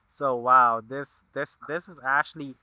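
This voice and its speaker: Podcast host guy, all excited going wow a lot, smooth crisp voice, really bright. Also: mid-range voice, a little slow, dry recording, poor audio. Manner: effusive, exclaims wow often. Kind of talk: podcast interview.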